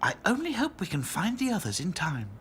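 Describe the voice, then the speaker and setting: A man voicing a brisk, bossy female rag doll in a children's storybook. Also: sits high, huge pitch swings, a bit bright, a little hiss or hum, voice pushed up, heavily acted. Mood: eager.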